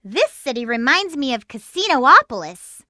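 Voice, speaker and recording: A woman's voice: sits high, big pitch swings, normal speed, very noisy. An actress playing a bold, peppy, girlish young hedgehog in a video game, perky and assertive. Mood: confident, instructive. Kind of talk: video game character lines.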